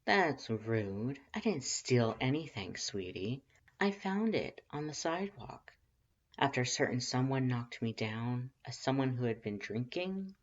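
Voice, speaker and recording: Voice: mid-range voice, sing-song, a little slow. A reader voicing a flirtatious stranger in a fan story, lilting and purring, almost sing-song. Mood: teasing. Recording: dull lo-fi recording.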